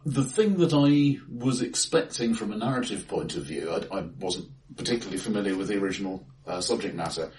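A man co-hosting a casual film discussion in a measured, halting way, often repeating his opening words. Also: lowish voice, lively intonation, a little mellow. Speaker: podcast co-host. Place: bright-sounding room, face to face. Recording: echoey room, distant from mic.

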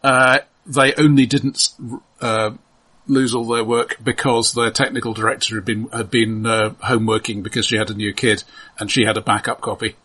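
Male podcast host, raspy yet bright; conversational, analytical film talk with halting restarts. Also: mid-range voice, level tone. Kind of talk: casual film discussion.

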